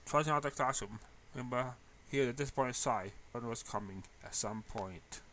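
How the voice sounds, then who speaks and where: A man's voice: mid-range voice, moderate pace, pushed and bright, slight background noise. A man narrating a story in a read-aloud style. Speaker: fan fiction reader.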